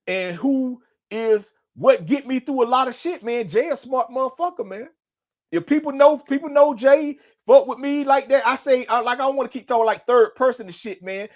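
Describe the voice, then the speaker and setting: A middle-aged man from North Carolina speaks in a Southern US accent with a notably high voice. He delivers an unscripted, conversational monologue as host of a personal show. His talk is thick with street slang and swearing, and he keeps checking in with whoever is listening. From North Carolina, United States.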